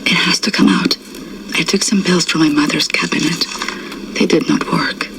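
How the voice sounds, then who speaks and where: An actress playing a bitter, haunted wartime resistance woman in her thirties, reading her secret diary in a hushed, breathy, deliberate deadpan for a video game. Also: low-pitched, in a whisper, far from the mic, low-quality recording. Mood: grim, pained. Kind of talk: dramatic scripted video game audio diary.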